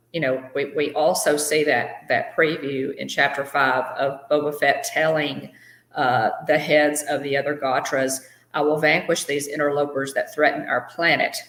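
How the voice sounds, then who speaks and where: Low-voiced woman chatting in an echoey room. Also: steady tone, unhurried, a bit bright. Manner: chatty, greets viewers by name, many fillers. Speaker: co-host of a live fan show. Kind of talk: casual live-stream chat.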